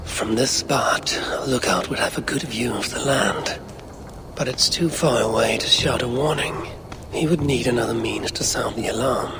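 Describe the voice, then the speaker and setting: An actor playing a brooding Viking clan leader in a video game, measured and solemn, as if murmuring to himself. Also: male voice, medium pitch, background noise, lightly acted. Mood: brooding, investigative. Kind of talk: video game cutscene acting.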